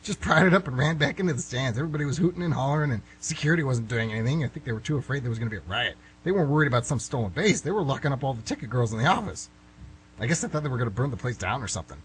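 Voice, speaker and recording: A man's voice: mid-range voice, slight background noise, close to an everyday voice, low-quality recording. A man acting a flustered, middle-aged guy who nervously rambles and corrects himself in an amateur radio drama. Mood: excited reminiscing. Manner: nervous, halting, self-correcting, excitable. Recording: slightly rough amateur radio recording.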